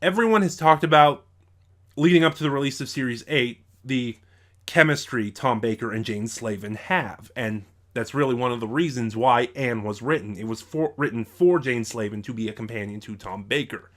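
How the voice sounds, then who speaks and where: A man's voice: medium pitch, moderate pace. A man reviewing audio dramas in unscripted commentary, thinking aloud and often rephrasing himself mid-sentence.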